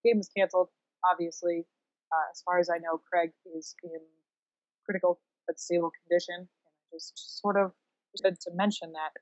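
A woman's voice: lowish voice, level tone, moderate pace. A woman co-hosting a sports podcast, chatting casually with wry, flippant asides. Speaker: podcast co-host. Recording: dull, roomy, lo-fi recording.

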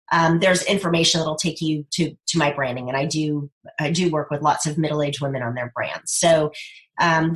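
A woman's voice: fairly low pitch, a bit bright, rough recording. A woman in her fifties, a speaker and brand coach, talking in an emphatic, persuasive way as an interview guest. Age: 50s. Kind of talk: podcast interview conversation.

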